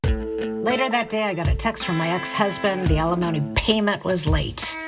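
Low-pitched woman acting a wry, wounded divorcee. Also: moderate pace, loud music behind the voice, lightly acted. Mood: dreamy, confiding. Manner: candid, conversational storytelling. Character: fed-up divorced businesswoman, wounded, wry, breaking loose. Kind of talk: audio drama acting and confessional first-person narration.

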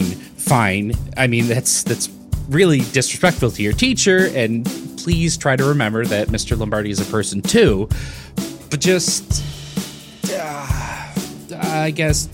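Weary young-sounding priest, lively delivery, music behind. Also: male voice, medium pitch. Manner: hesitant, stammering, exasperated. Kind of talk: audio drama comedy acting.

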